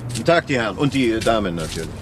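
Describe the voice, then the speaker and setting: An actor playing an arrogant senior public prosecutor in a radio crime drama, speaking in a condescending, clipped manner. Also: male voice, fairly low pitch, sing-song, racing, noisy background. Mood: haughty, cold.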